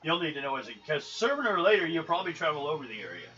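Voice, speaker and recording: Male game master: higher-pitched, forceful edge, halting rambling delivery, casual tabletop narration. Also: big pitch swings, recorded from a distance. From United States. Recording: dull lo-fi live recording with hiss.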